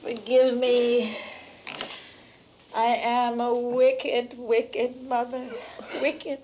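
A woman's voice: a little high, flat delivery, mellow, muffled recording, a little hiss or hum. A woman playing a proud aging actress mother. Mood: remorseful. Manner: theatrical, slow and grand, scornful then tender. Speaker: actress playing Arkadina in a stage drama.

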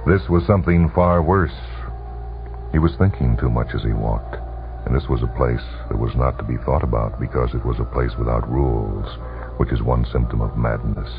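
Very deep, gravelly male radio storyteller. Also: normal speed. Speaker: narrator. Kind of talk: dramatic radio short-story reading. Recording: muffled, phone-like old radio broadcast with music. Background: music.

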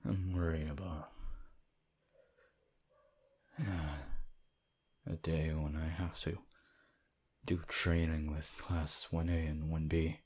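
A man's voice: rumbling low, little pitch movement, slow, pauses often, a little mellow, slightly rough, heavily acted. A man acting a sleepy, laid-back teenage hero student in an ASMR roleplay, murmuring intimately and gratefully with sighs to the listener. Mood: wry, confiding. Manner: drowsy, sighing, intimate murmur.

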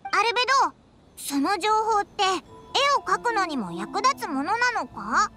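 A tiny floating fairy sidekick with an extremely high, childlike voice. The delivery is bouncy and cheeky, with blunt boyish phrasing, in anime game style over clear background music. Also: female voice, sing-song, moderate pace. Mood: chatty. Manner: boyish rough speech, blunt and familiar. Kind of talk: Japanese anime game dub. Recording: music playing behind the voice.

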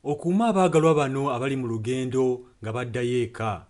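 A male interpreter delivers a sermon and prayer in short phrases. His voice sits at an average pitch for a man. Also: fast talker, a little mellow.

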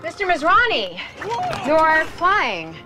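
A brisk, tightly wound park manager in a game cutscene. She is a busy, controlling woman who talks like an executive. Music plays clearly behind her voice. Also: high-pitched, big pitch swings, sharp tone, heavily acted. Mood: awkward, polite. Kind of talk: animated game cutscene dialogue.

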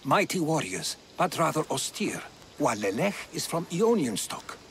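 An actor playing a learned, earnest Greek historian who explains things diplomatically in a video game. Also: male voice, a little high, big pitch swings, normal speed, a little hiss or hum. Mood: explanatory.

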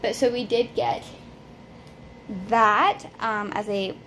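A woman's voice: fairly high pitch, steady tone, slow pace, fairly bright tone, a little hiss or hum. A woman in her twenties giving a casual tour of a small apartment, holding a phone camera and talking in a loose, chatty way with many fillers. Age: 20s. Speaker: vlogger. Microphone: handheld phone camera.

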